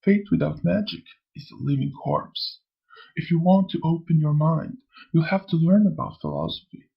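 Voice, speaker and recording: A man voicing a sly, amused old spirit in a motion comic, lecturing theatrically in a gravelly, husky voice. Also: fairly high pitch, normal speed, highs cut off, heavily acted. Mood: preaching. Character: sly, amused old male spirit who preaches and taunts. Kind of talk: scripted motion comic dialogue.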